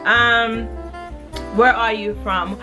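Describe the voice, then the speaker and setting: A woman's voice: on the high side, steady tone, extremely slow, music in the background. A young woman from Jamaica, chatting casually through a Q and A vlog in a laid-back way, full of fillers. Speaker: vlogger.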